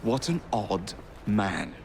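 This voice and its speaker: Gravelly cocky young English assassin. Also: male voice, fairly low pitch, animated, slow pace, heavily acted. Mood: flippant. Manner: cocky, flippant. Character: brash young male assassin twin, cocky, flippant. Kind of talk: video game cutscene acting. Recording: very loud vehicle and ambience noise.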